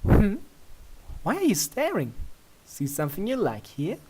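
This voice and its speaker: A regal cartoon pony princess, played by a man, pitched well up from a male register. The delivery is coy and teasing, with genteel phrasing suited to a fan fiction romance. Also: wildly swooping pitch, a little slow, noisy background, full character voice. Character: Princess Luna, regal playful female pony princess. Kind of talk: amateur fan fiction character reading.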